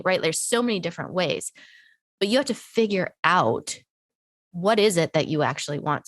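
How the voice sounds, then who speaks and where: A woman's voice: on the low side, no room sound, studio quality. A woman hosting a podcast interview who has a slight cold, talking in an earnest, encouraging way with long, winding sentences. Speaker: podcast host. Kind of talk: casual interview chat.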